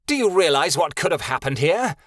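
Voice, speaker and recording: A man's voice: on the high side, big pitch swings, fairly quick, a bit bright, dry recording, clean studio sound, pitched above the performer's own voice. A man voicing a cold, sneering man who gives orders and snarls.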